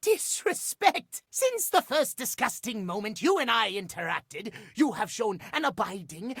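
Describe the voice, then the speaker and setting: A man's voice: very high voice, fairly bright tone, dry recording, studio quality, exaggerated voice. An actor voicing a fussy, prim male clock obsessed with schedules, speaking formally and precisely in a theatrical, old-fashioned way for a video game. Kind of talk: video game character acting.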